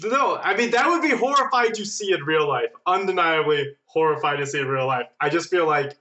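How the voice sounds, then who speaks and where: A man's voice: a little high, lively intonation, fairly quick, bright, forceful tone, muffled recording. A man chatting casually and jokingly as a guest on a podcast. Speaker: podcast editor appearing as guest.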